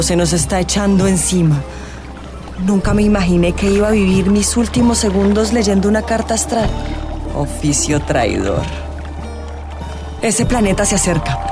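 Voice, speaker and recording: An actor playing an eccentric, probing astrologer in a radio drama, speaking in dramatic, drawn-out pronouncements. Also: medium pitch, close to an everyday voice. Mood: grandly apocalyptic. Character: eccentric astrologer, theatrical, teasing, probing, solemn. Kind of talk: theatrical radio drama acting. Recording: music and vehicle sounds behind. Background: music, real outdoor soundscapes.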